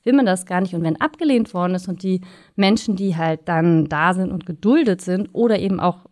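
A woman's voice: lowish voice, fairly quick, a little mellow, dry recording, studio quality. A woman speaking as an expert guest in an interview, explaining things in an engaged, detailed way.

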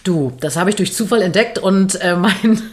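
A woman's voice: mid-range voice, moderate pace, a little mellow. Chatty Kölsch-accented woman podcaster. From Cologne, Germany. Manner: chatty, laughs often, restarts sentences. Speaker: podcast co-host. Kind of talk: casual chat. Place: home in Berlin.

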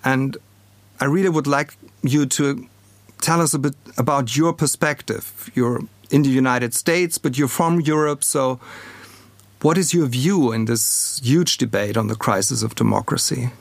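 A man with a German accent hosting an academic podcast, speaking in a measured, formal way as he introduces and questions a guest. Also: mid-range voice, vocal fry, no echo. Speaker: podcast host; political scientist.

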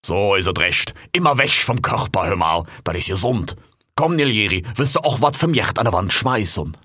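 A man plays a blustery old monkey king with a thick lilt, a very deep, husky yet bright voice that rambles on. His talk is dotted with "hör mal", recorded dry and close. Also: lively intonation, normal speed, dry recording, heavily acted. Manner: dialect-heavy rambling, says "hör mal" often.

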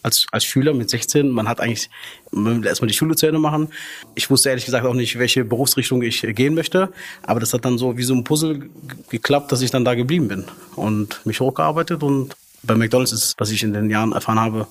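A man's voice: mid-range voice, quick pace, a bit bright. A young man speaking in an ordinary middle register. He talks casually as an interview guest, a restaurant manager who often restarts sentences and drops in small fillers. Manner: chatty, frequent fillers and restarts.

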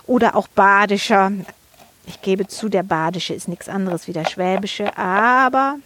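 A woman's voice: mid-range voice, unhurried, a bit bright. A woman from Swabia in her fifties, chatting casually while she cooks and explains each step. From Swabia, Germany. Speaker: cook. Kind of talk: casual cooking chat.

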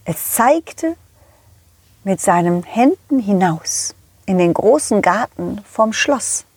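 A woman voicing a confident, loyal, kindly dog who tells his adventures to children in a chatty, halting, empathetic way. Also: mid-range voice, expressive, a little mellow, slightly rough, dry recording, full character voice. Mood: earnest, kindly. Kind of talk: children's audio play storytelling.

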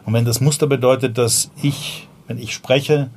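Male guest, 40s, Viennese accent, mid-pitched, casual and slangy, light background hiss. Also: steady tone, normal speed, darkish tone. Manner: loose and slangy, frequent fillers and self-restarts. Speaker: podcast guest, startup founder. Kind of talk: casual conversational storytelling.

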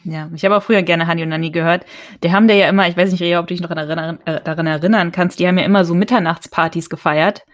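Woman podcast co-host from North Rhine-Westphalia, quick and lively, dry close sound. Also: lowish voice, dry recording. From North Rhine-Westphalia, Germany. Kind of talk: casual interview chat.

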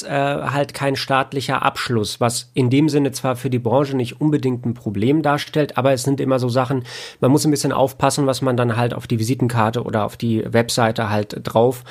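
The speaker is a man with noticeable fry in his voice. He explains his trade in loose, colloquial interview talk. He tends to circle back and rephrase as he builds a point. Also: medium pitch, level tone, normal speed. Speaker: interview guest, audio engineer and audiobook editor.

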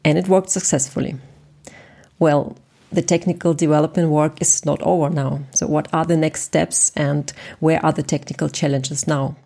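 A woman interviewer asking prepared questions in a measured, formal way. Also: sits low, steady tone, creaky, mellow.

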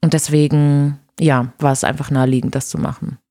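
Woman from Brazil, frank and low-voiced. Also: fairly quick, no echo, clean studio sound. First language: Portuguese. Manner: relaxed, frank, with fillers. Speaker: guest. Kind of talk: casual interview chat.

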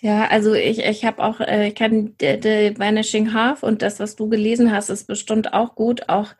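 A woman's voice: mid-range voice, completely flat delivery, normal speed, darkish tone, husky. A woman co-hosting a podcast, chatting casually about books and hesitating often with fillers and restarts. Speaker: podcast co-host. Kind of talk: casual book chat.

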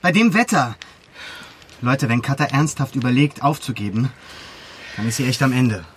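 Young male activist, actor-played, animated pitch movement, blunt colloquial drama delivery, slight background noise. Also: moderate pace, natural voice. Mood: upset. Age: young adult. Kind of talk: naturalistic audio drama dialogue.

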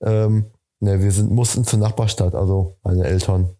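A man's voice: lowish voice, little pitch movement, normal speed, warm tone. A man of thirty-one from North Rhine-Westphalia talking casually as a podcast guest, colloquial and often checking for agreement. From North Rhine-Westphalia, Germany. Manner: colloquial, seeks agreement with tag questions. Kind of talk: casual chat.

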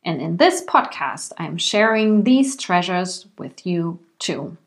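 A woman's voice: on the low side, sing-song. German-accented woman hosting a podcast. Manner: careful, measured, clearly enunciated English. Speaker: podcast host and English coach. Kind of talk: solo podcast monologue.

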